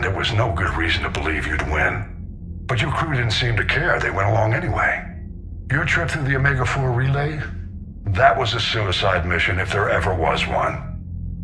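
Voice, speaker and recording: A man playing a stern, plainspoken older fleet admiral who mentors, in a video game. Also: on the low side. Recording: clear background ambient noise.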